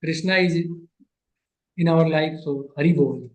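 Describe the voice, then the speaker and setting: A man teaching Sanskrit grammar in an online class, patient and repeating phrases slowly, his English not his first language. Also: on the high side, darkish tone, echoey room.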